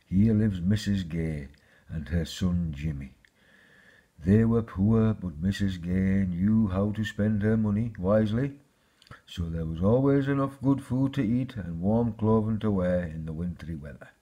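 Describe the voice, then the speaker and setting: A man with a husky voice, reading a children's fairy tale aloud as a storyteller. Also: sits low, unhurried, mellow.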